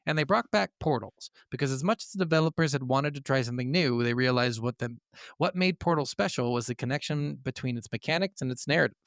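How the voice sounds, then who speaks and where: A man's voice: medium pitch, fast talker, a bit bright, no echo, studio quality. A man co-hosting a gaming podcast, moving between narration and casual, blunt, sweary chat. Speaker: podcast co-host.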